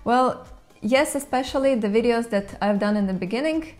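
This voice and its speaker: A young woman from Latvia whose first language is Russian, speaking English in a relaxed question-and-answer video, thoughtful with light laughs, on a lapel mic in a bright indoor room. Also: on the high side, normal speed, faint music behind. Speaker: language channel host. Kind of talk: casual Q&A to camera.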